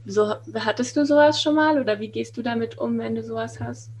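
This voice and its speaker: A woman's voice: fairly high pitch, a little fast, slightly rough. A woman hosting a casual podcast interview, agreeable and encouraging, affirming her guest often. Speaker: podcast host.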